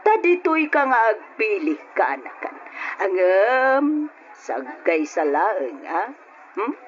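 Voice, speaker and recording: Coaxing, thrifty middle-aged Ilocano aunt, unhurried and hesitant, muffled radio drama sound. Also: female voice, mid-range voice, very animated, slight background noise. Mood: fussy, persuasive. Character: coaxing, penny-pinching middle-aged aunt shopping with nephew.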